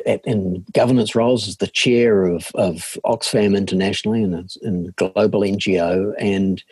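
A middle-aged man with a New Zealand accent, a guest on a video-call interview, answering in a measured way with frequent fillers and restarts. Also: lowish voice, a little mellow, slightly rough, dry recording. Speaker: guest, leadership consultancy chair. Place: home in a village.

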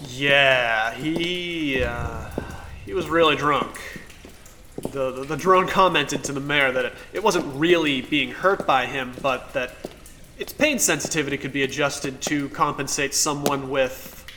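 A defensive cop in a cyberpunk audio play, stammery n dismissive, mid pitch, bit of noise. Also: male voice, unhurried, sharp tone. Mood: guarded, dry. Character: skeptical, defensive male police officer in cyberpunk future. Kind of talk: naturalistic audio drama acting.

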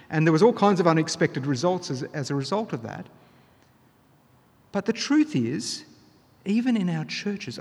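A man preaching a sermon, earnest and emphatic, pressing his key points louder. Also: fairly high pitch, husky, in a large hall. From Australia.

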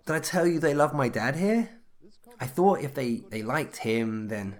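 A man's voice: mid-range voice, lively intonation, measured pace, close to an everyday voice. A man acting an anxious, eager-to-please, social-climbing husband in a comedy audio drama, hesitant and stammering. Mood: downcast.

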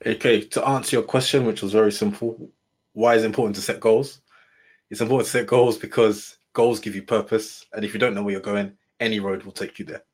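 A man's voice: lowish voice, steady tone, fairly quick. A man in a casual group discussion, making punchy points with sayings and closing them with "full stop". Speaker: panel guest.

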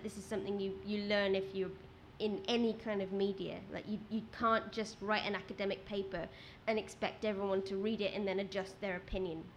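A woman with a quiet voice, a guest writer talking at a live event, rambling with many restarts. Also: medium pitch, slight background noise. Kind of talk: conversational talk at a live event. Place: outdoor event with rain.

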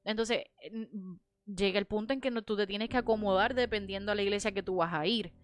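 A woman's voice: mid-range voice, brisk, clean tone. A woman with a Puerto Rican Spanish accent, raised in Miami, talking animatedly in a casual church discussion and dropping in English words. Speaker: panelist.

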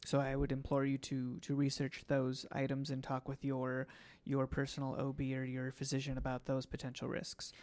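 A man hosting a podcast, slightly hoarse today, explaining things casually with many ums. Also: medium pitch, completely flat delivery, moderate pace, a little mellow. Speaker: podcast host.

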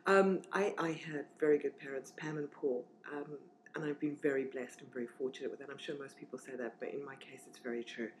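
A woman's voice: very deep voice, fairly even intonation, fairly quick, few pauses, slight background noise, low-quality recording. Chatty woman in her forties. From southern Africa. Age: 40s. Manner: chatty, reflective, frequent fillers and restarts. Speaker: guest. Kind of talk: casual interview chat.

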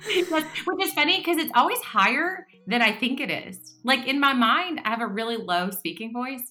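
Warm-toned chatty woman in her late thirties. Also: sits high, lively intonation, moderate pace, faint music behind. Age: 30s. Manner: chatty, laughs often, many fillers and restarts. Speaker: guest, songwriter and worship leader. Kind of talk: casual interview chat.

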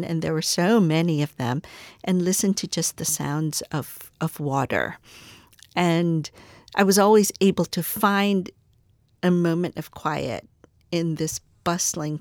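A woman's voice: deep voice, vocal fry, dry recording. A middle-aged woman from the Philippines, a museum curator answering interview questions thoughtfully, often repeating words as she searches for the right phrase.

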